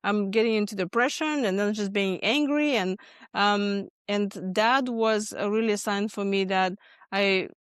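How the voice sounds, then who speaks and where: A woman from Lithuania with a Lithuanian accent, a guest speaking earnestly about stress and often pausing with ums and restarts. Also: medium pitch, clean tone, no room sound, clean studio sound. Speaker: podcast guest, stress management expert. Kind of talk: conversational interview answers.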